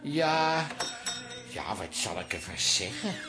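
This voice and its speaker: A woman's voice: low voice, big pitch swings, takes it slowly, over music, heavily acted. A woman playing a wry, gossipy hairdresser in a comic radio play. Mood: awkward. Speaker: radio drama actor.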